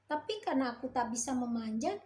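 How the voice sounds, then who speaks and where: A woman's voice: a little high, expressive, quick pace, darkish tone, a little hiss or hum, voice pushed up, close to an everyday voice. A woman voicing a kind, good-hearted frog who trusts his friend in a children's story. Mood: cheerful.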